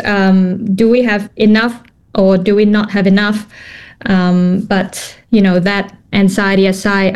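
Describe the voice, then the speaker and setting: A woman's voice: mid-range voice, darkish tone, smooth. A woman in her thirties from Hong Kong, a company founder answering interview questions thoughtfully, with many fillers and restarts.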